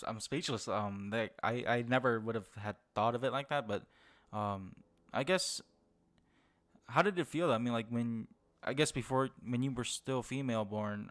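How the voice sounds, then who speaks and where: A man's voice: mid-range voice, unhurried, a bit bright. A male podcast host asks his questions in a loose, casual way. He stumbles and restarts often, piling up fillers while he hunts for the right word. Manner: hesitant, many fillers and restarts, searching for words. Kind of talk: casual interview.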